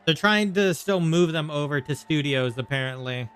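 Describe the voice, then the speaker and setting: A man's voice: a little high, normal speed, a bit bright. A man giving casual let's play commentary as a gaming streamer. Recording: game music behind the voice. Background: faint video game music.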